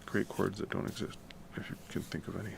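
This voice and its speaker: A man with a deep baritone voice, chatting casually and rambling while he works, keeping his voice quiet in the middle of the night. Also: fast, gravelly. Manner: relaxed, rambling, swears casually; talks quietly to keep the noise down. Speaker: music composition streamer. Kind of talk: casual late-night talk while working. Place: home room at night. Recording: noticeable background noise with typing.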